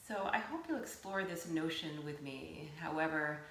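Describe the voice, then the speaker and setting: A woman teaching yoga nidra, giving gentle guided meditation instruction in a soothing, unhurried way with pauses. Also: low-pitched, expressive. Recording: roomy echo, faint background noise.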